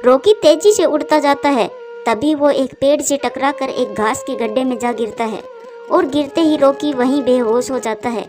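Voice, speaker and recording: Woman narrator for kids story cartoon, high pitched, music underneath. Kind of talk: scripted children's story narration.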